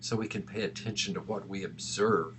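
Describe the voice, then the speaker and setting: A man narrating a lesson as an instructor, in a measured, didactic way. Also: low-pitched, noisy background.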